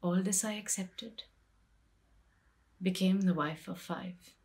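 Wronged queen and wife, played by a middle-aged woman, bitter and grieving. Lowish voice, very unhurried poetic monologue, hushed in places. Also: steady tone. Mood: solemn, bitter. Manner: measured, deliberate, with pauses and hushed phrases. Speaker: poetry reciter. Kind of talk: dramatic poetry recitation. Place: small plain room indoors.

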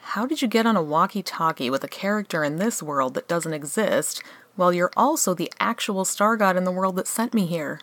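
A woman's voice: lowish voice, expressive, brisk, a bit bright, dry recording. A woman playing a skeptical, sarcastic reluctant helper in a comedic radio play. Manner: questioning, sarcastic.

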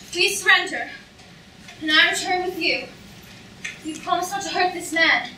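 A woman's voice: extremely high pitch, slow, pushed and bright, slight background noise, heavily acted. A young woman playing a distraught, devoted noblewoman in love, pleading melodramatically in an amateur staged reading. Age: young adult. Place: lecture hall stage. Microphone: far camera. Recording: echoey lecture hall from far off.

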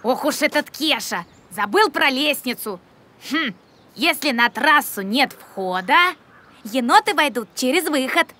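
A woman's voice: high voice, lively intonation, measured pace. A woman voicing a mischievous, bickering young raccoon child in a children's cartoon. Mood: eager, scheming.

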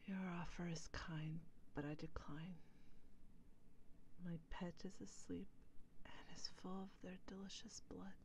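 A woman playing a proud, brooding female vampire in an ASMR role play, speaking in breathy, solemn, formal phrases. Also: sits low, a little hiss or hum. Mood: weary, proud.

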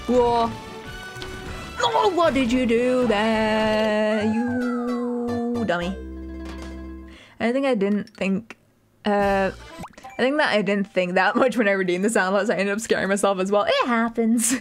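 A young woman from the north of England with a softened northern accent, chatting casually and giggling as a streamer while she plays and reads chat aloud. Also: fairly high pitch, slow pace, long pauses. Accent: Northern English, softened. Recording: game music behind the voice.

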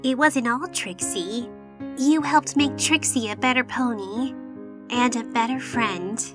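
Cartoon dub: high-voiced young unicorn mare played by a woman, theatrical, self-important, tender at heart, unhurried delivery. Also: music in the background, close to an everyday voice. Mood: fond. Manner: theatrical, speaks of herself in third person. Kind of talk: animated comic dub acting.

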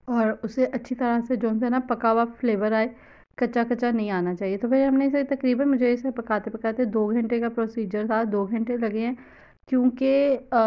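A woman's voice: on the high side, lo-fi sound. A woman with a Pakistani Urdu accent, talking through a recipe in a loose, chatty, explanatory way and mixing in English words, recorded on a phone in a home kitchen. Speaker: cooking vlogger. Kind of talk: casual cooking voice-over.

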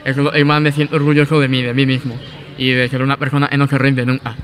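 A man answering a street interview in an earnest, steady way. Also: mid-range voice, fast.

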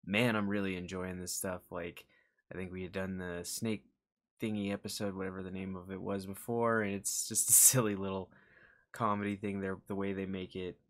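Low-voiced young man chatting casually. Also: little pitch movement, normal speed, fairly bright tone. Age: young adult. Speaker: reaction video host. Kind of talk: casual reaction chat. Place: small room indoors.

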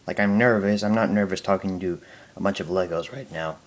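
A young man answering viewer questions in a casual home video, talking haltingly with a stutter, many fillers and restarts. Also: deep voice. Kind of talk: casual Q&A talk to camera.

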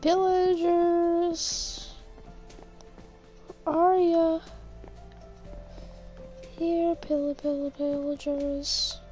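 Sick croaky girl chatting slow over her game, high voice, faint music behind. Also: level tone, dark tone. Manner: chatty, muttering to herself, mock-frustrated. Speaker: gaming youtuber. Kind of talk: casual gameplay commentary.

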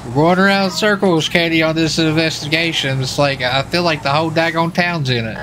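A man's voice: on the high side, steady tone, fairly quick, noisy background. An American man talking casually in a folksy, slangy way as he streams a police roleplay game.

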